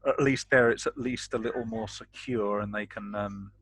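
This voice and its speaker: A man's voice: fairly low pitch, steady tone, normal speed, a bit bright, no room sound. A man playing a tabletop game, talking through plans casually in a cautious, questioning manner.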